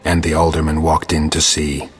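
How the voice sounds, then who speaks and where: Casting: sardonic game monster hunter, world-weary, deadpan monotone, badly husky voice. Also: male voice, moderate pace, in a large hall, faint music behind. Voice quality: low gravelly rasp. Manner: dry, deadpan, terse. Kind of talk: video game voice acting.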